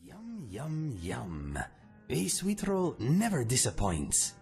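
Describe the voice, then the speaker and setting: An actor playing a friendly philosophical cat companion in a video game, speaking in formal, unhurried phrases. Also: male voice, mid-range voice, huge pitch swings, creaky, a little mellow, faint music behind, heavily acted. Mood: contented. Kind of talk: video game character dialogue.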